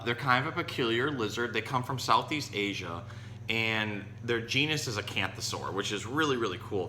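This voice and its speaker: A man's voice: on the low side, bright, forceful tone. A man hosting as a zookeeper, explaining animals in casual, educational talk. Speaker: zookeeper host. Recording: echoey room with faint hum.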